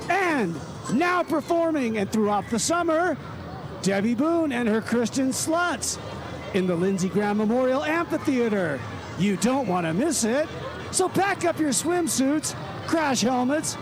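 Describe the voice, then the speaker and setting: A man doing a hyped announcer voice for a spoof radio commercial. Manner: hyped pitchman delivery.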